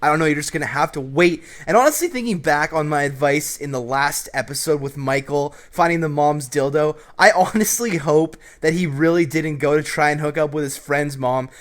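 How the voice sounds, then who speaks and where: Brash young male advice vlogger, slightly high. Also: moderate pace, few pauses. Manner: brash, joking, with swearing. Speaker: advice show host. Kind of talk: casual advice commentary over gameplay.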